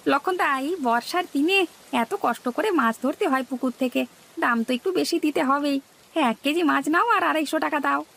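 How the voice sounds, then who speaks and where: A woman's voice: sits high, moderate pace, creaky, fairly bright tone. A woman voicing a naive, eager male village fish seller in a cartoon, with a questioning, simple-minded manner. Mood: insistent. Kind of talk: cartoon dialogue.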